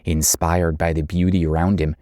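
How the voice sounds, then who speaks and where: A man's voice: low-pitched, level tone, moderate pace, dark tone, no echo, studio quality. A man reading scripted narration as the narrator of a documentary.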